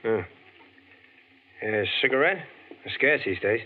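A slangy, smooth-talking driver. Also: male voice, lowish voice, lively intonation, a little slow. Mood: breezy. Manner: breezy, slangy, persuasive. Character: smooth-talking male truck driver, Hal. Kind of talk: 1940s radio acting. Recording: tinny old radio, clear background hiss.

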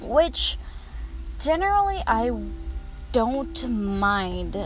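A woman's voice: on the high side, sing-song, poor audio. Candid young woman over background music. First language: not English. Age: young adult. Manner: candid, thoughtful, unhurried. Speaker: podcast host. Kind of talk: personal reflective podcast monologue.

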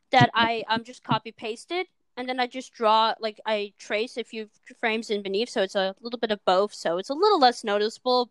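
Teenage girl, high smooth voice, chatty with many fillers, dry close cheap mic. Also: no room sound, rough recording. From Minnesota. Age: teens. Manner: chatty, filler-heavy, self-deprecating. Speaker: guest animator. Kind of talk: casual chat. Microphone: cheap microphone.

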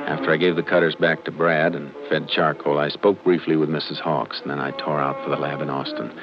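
An actor playing a calm, methodical Texas Ranger in a 1950s radio crime drama, speaking tersely and matter-of-factly. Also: male voice, rumbling low, fairly quick, over music. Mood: businesslike. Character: calm, methodical Texas Ranger lawman narrating his case. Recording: noisy, muffled 1950s radio recording.

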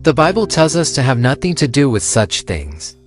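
A woman's voice: very deep voice, big pitch swings, moderate pace, faint music behind, voice pushed down. A young woman voicing a stern, devout father in a read-aloud story, speaking solemnly and preachily. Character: stern devout father, grown man, lecturing.

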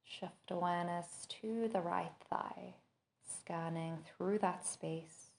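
Slow, low-voiced woman guiding meditation. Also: fairly even intonation. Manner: gentle, measured instruction with long pauses. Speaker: podcast host and meditation teacher. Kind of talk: guided body scan meditation.

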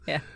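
A tired woman co-hosting a podcast, rambling and self-deprecating as she talks about a song. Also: noisy background. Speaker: podcast co-host. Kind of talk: casual music review chat.

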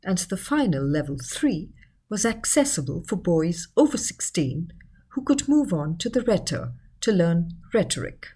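A woman reading a scripted educational article in a measured, explanatory manner. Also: on the low side, sing-song, warm tone, slightly rough. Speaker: article narrator.

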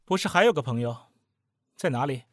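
An actor playing a cool, sardonic young roommate in an audio drama, composed yet pointed and needling. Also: male voice, medium pitch, lively intonation, fairly quick, natural voice. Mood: polite, pointed. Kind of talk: Chinese audio drama acting.

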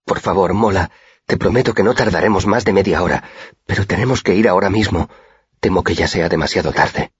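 A man's voice: low-pitched, a little fast, slightly rough, no room sound. A man voicing an earnest, polite and anxious young male student in audiobook dialogue, with dull, muffled sound. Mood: anxious, urgent.

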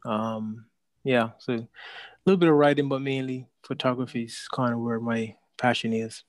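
A man of thirty-eight talking deliberately on a podcast and laughing easily. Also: mid-range voice, steady tone, no room sound, studio quality. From Bermuda. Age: 30s. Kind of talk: casual podcast chat.

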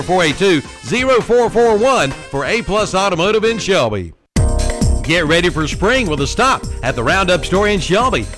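A man reads local radio ads in a fairly high voice that swings up and down a lot. A clear music bed plays behind him. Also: a bit bright. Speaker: radio advertisement announcer. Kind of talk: scripted local ad read.

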